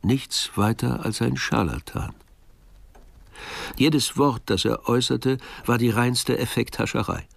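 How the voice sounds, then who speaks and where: A man's voice: medium pitch, unhurried, creaky, no room sound. A man narrating a radio drama in a scripted, literary storytelling style. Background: music.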